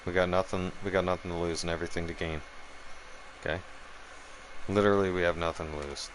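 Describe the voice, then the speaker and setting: A man's voice: low-pitched, little pitch movement, quick pace, background noise. A man ranting on a live stream, swearing hard and keeps asking "right?" and "okay?". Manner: profane, heated, keeps checking in with "right?" and "okay?". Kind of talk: angry political rant on a live stream.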